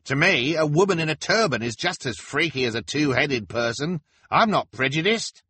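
A man's voice: a little high, lively intonation, normal speed, sharp tone, breathy edge, no room sound, voice pushed up, exaggerated voice. A man voicing a pimply, bloodthirsty male heckler in a storybook, brash and impatient. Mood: smug disdain.

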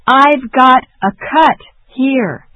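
A woman repeating short phrasebook sentences slowly and deliberately in a drill. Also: high voice, a bit bright, no echo. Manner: slow, careful, deliberate. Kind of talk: slow repetition drill. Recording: dull dry lo-fi audio.

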